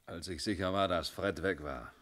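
A man's voice: low-pitched, moderate pace, fairly bright tone. An actor playing a guarded, cheeky barman under police questioning in a German radio crime drama, explaining in short bursts. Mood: resigned, confessing.

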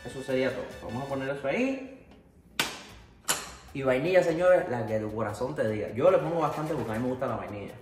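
A man's voice: mid-range voice, animated, fast talker, reverberant, over music. A young man from Cuba with a Cuban Spanish accent, chatting casually to viewers as he demonstrates a recipe in his home kitchen. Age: young adult. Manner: chatty, addresses viewers directly, mixes in English "so" and "ok". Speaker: cooking show host.

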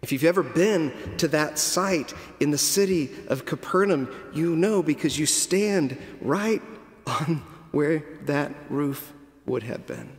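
A middle-aged man preaching a sermon from a pulpit, telling the story slowly and deliberately with dramatic pauses. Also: a little high, animated. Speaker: cathedral dean preaching. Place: large stone cathedral, at a pulpit. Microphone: pulpit microphone. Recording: long cathedral reverb.

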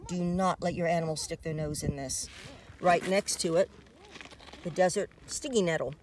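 A woman's voice: fairly low pitch, steady tone, moderate pace, darkish tone. A middle-aged American woman giving an informal safety talk to camera outdoors, as a presenter from a fitness department. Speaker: fitness department staffer presenting a dog hiking safety video. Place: outdoors in open desert by trails and a dirt parking lot. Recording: far from the mic, faint outdoor noise.

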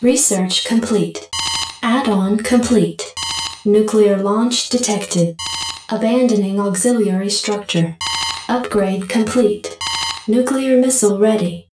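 A woman voicing a calm, impersonal computer that announces military alerts in a game. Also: mid-range voice, slow pace, natural voice. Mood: flat, matter-of-fact. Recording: echoey, distant, music behind.

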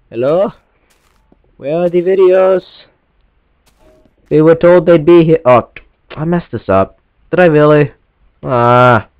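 A man's voice: on the high side, clear, resonant tone, no room sound. A teenage boy who sounds stuffed up from a cold, rambling apologetically and poking fun at himself while commenting on his gameplay. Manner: rambling, self-deprecating and apologetic. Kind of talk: casual let's-play commentary. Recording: lo-fi recording with faint game music.

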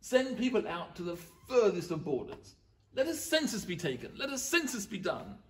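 A man's voice: sits high, wildly swooping pitch, normal speed, a little hiss or hum. A man voicing a boastful, greedy Roman emperor in a rhyming story, pompous and gloating, laughing through his brags. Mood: commanding.

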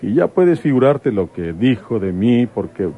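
An actor playing a flirtatious, folksy rural detective in a radio soap, with a Mexican accent and a colloquial, coaxing manner. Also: male voice, medium pitch, big pitch swings, measured pace, phone-quality sound. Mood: chatty, aggrieved. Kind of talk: Spanish-language radio soap acting.

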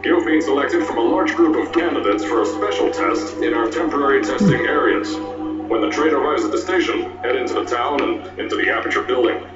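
An actor playing a brash middle-aged male company founder in a game, talking in a boastful, booming salesman pitch. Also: brisk, recorded from a distance, lo-fi sound, lightly acted. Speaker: game character in a fan-made mod. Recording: roomy game audio with loud music.

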